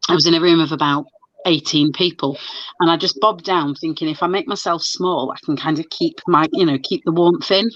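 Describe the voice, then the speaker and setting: A woman's voice: low-pitched, fairly even intonation, a little fast, dry recording. A woman hosting a casual video chat, telling stories in a chatty, stop-start way with lots of restarts. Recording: dull lo-fi sound.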